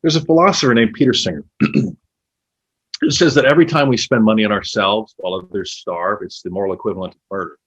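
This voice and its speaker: A man playing a probing, cynical former literary agent in a naturalistic two-man play, pointed and halting, with a husky voice. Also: fairly low pitch, fairly bright tone, lo-fi sound. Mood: dryly provocative. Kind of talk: naturalistic stage dialogue.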